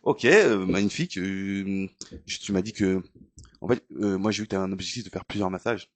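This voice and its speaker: A man's voice: sits low, normal speed. A man hosting an interview, asking questions in a playful, curious, tongue-in-cheek way. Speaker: interviewer host. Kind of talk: casual interview chat.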